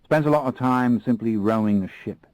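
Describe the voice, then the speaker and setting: A man voicing a tall, alert, suspicious Australian fixer with an Australian accent and a brisk, businesslike manner. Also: mid-range voice, a little mellow, no echo, voice pushed up, lightly acted, rough recording.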